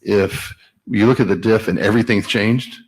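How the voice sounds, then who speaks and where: A man speaking at a technical meeting, giving measured, explanatory comments. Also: lowish voice, slightly rough, rough recording. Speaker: meeting participant at the microphone. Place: standards meeting room.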